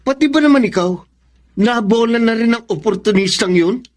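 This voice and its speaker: High-voiced, indignant eldest son. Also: male voice, normal speed. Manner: accusing, insistent. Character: suspicious, indignant, protective grown eldest son. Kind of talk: radio drama acting.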